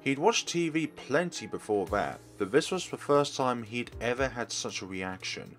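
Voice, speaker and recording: A man's voice: medium pitch, expressive, normal speed, a bit bright, soft background music. A man reading a visual novel's text aloud expressively as a let's player, in a formal manner with small stumbles. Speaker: let's play streamer. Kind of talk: dramatic read-aloud of game text.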